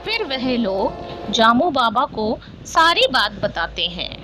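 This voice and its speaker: A woman's voice: a little high, sing-song, slow pace, sharp tone, soft background music. A woman narrating a scripted story.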